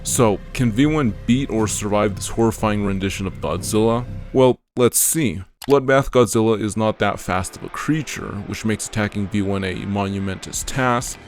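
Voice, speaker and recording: Low-pitched man narrating over music. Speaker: video narrator and host. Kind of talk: scripted narration, video-game versus analysis. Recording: music behind the voice.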